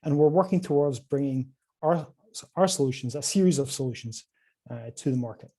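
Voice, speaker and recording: A Scottish man presents at a conference over a video call, his voice mellow and soft-edged. He talks with frequent filler sounds and restarts, and his level rises and drops through each phrase. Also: mid-range voice, a little fast. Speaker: conference speaker from a quantum computing company.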